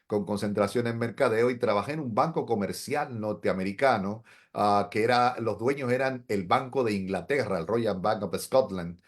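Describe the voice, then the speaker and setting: A man's voice: lowish voice, normal speed, few pauses. A middle-aged man, a pastor, telling his story in an interview in an earnest, reflective way. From Dominican Republic. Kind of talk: interview testimony.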